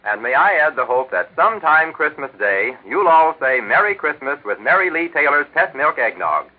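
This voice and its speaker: A man's voice: on the high side, sing-song, moderate pace. An American man with a jovial, eager, hammy manner, delivering folksy scripted sponsor patter as a 1940s radio announcer and co-host. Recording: tinny band-limited 1940s radio recording.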